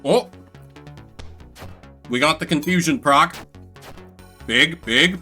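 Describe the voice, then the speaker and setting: A man's voice: on the high side, slow pace, sharp tone, soft background music. A man giving playful, theatrical commentary as he streams a game, reading its text aloud.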